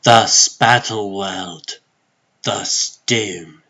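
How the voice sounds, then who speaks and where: A man voicing a solemn, world-weary sorcerer in a comic dub, speaking gravely and with portentous deliberation. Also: lowish voice, fairly even intonation, extremely slow, sharp tone, exaggerated voice. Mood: ominous. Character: solemn, world-weary adult male sorcerer serving a god. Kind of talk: comic dub dramatic monologue.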